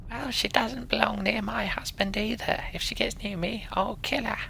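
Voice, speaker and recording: A man's voice: sits high, steady tone, moderate pace, gravelly, sharp tone, slight background noise, pitched above the performer's own voice, full character voice. A man voicing a fierce, jealous wife who speaks in a threatening way.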